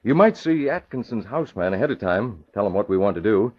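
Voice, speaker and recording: An actor playing a young newspaper publisher in an old radio drama, a crisp, matter-of-fact boss giving directions. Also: male voice, fairly low pitch, animated, quick pace. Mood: businesslike. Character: young, businesslike male newspaper publisher, brisk and calm boss. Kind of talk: 1940s-50s radio acting. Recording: dull vintage radio recording.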